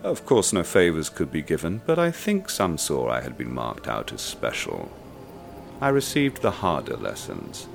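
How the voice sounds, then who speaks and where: An actor playing a calm, sinister male cultist who narrates his own dark initiation in a measured voice. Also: a bass voice, vocal fry, full character voice. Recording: loud music under voice.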